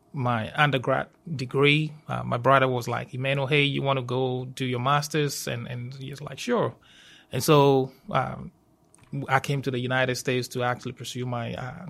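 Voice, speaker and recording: A man with a Ghanaian accent giving conversational interview answers, in a halting way that often repeats and rephrases. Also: mid-range voice, gravelly, fairly bright tone. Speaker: university safety professional, interview guest.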